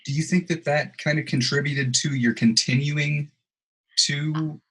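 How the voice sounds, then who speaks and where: A man's voice: a little high, steady tone, unhurried, a bit bright, slightly rough, poor audio. A man hosting a podcast interview, agreeing often and asking long, run-on questions. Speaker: podcast host. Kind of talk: casual interview chat.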